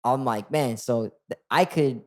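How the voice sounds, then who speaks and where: Casual young male rapper, mid-range voice, relaxed and rambling. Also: medium pitch, unhurried. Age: 20s. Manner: relaxed, lots of "like" and restarts. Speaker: rapper guest. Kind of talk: casual interview chat.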